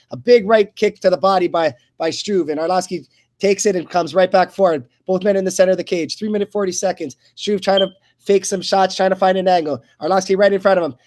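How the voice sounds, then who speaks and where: High-pitched man calling a fight play-by-play. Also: a little mellow. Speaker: play-by-play commentator. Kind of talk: live radio fight commentary.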